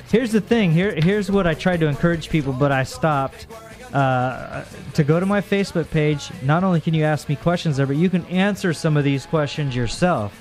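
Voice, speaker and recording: A man hosting a relaxed call-in radio show, his deep, bassy voice rambling through answers with plenty of hesitations. Faint music plays behind him. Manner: rambling, hesitant, laid-back. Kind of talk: casual radio chat.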